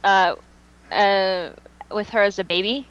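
A woman chatting casually on a fan podcast in a gushing, excitable manner. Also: mid-range voice, slow pace, clean tone. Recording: muffled, noisy amateur podcast recording.

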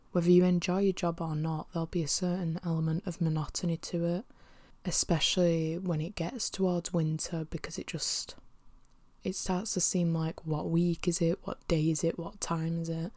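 A young woman speaks in a lowish voice, a little sniffly from a cold. She talks candidly and loosely, with casual swearing and soft, half-whispered asides. The sound is close and dry, with no room echo. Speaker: vlogger. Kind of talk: casual personal monologue. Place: at home by her desk.

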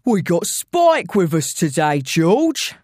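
Woman voicing a slow, taunting male London gangster. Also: on the low side, sing-song, darkish tone, no room sound, clean studio sound, full character voice. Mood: taunting menace. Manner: slow, taunting, mock-friendly. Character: menacing male London gangster, mockingly polite ringleader.

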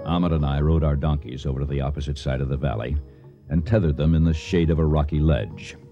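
A man playing a middle-aged archaeologist and expedition leader in a radio drama, speaking in a measured, civil, slightly hesitant way. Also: very deep voice, lo-fi sound, music in the background. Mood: reflective narration. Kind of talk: radio drama acting and narration.

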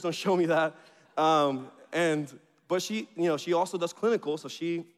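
A man in his thirties from Venezuela, whose first language is Spanish, preaching in a chatty, joking way with bursts of excitement. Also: on the high side. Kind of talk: informal sermon introduction. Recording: reverberant hall.

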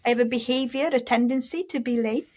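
A woman with a Hungarian accent blended with British and American tones, her voice sitting a bit high. She talks casually as an interview guest and draws out words when she wants to stress them. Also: steady tone, fast talker, a little mellow. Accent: Hungarian mixed with British and American. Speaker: guest, mindset coach.

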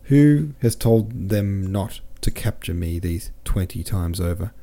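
A man's voice: low-pitched, animated, unhurried, warm tone. A man reading a cold, ironic swashbuckling soldier in a storybook, blunt and taunting. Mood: brisk, cynical.

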